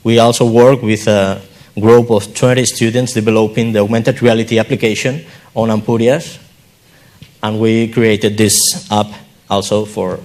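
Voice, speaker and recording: A man presenting research projects at a conference, speaking English haltingly in short, simple phrases. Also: fairly low pitch, flat delivery, darkish tone. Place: conference hall.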